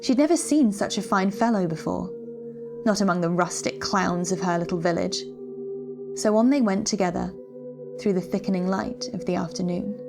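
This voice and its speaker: A woman reading a literary story as audiobook narration, with a measured, descriptive storytelling delivery. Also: medium pitch, music in the background. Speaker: story reader.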